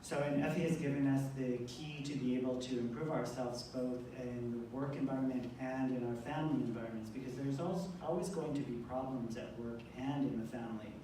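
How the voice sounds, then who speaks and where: A man's voice: medium pitch, steady tone, moderate pace, hardly any pauses, dark tone. A man working as an interpreter, relaying someone's speech aloud at a public event. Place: indoor event room, heard from far off. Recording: distant mic, very echoey room, faint noise.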